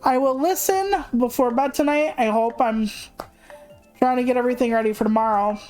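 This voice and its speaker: A woman's voice: fairly high pitch, moderate pace, a little mellow, faint music behind. A woman livestreamer chatting casually in a candid, rambling, self-deprecating way, swearing freely. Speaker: video game livestreamer.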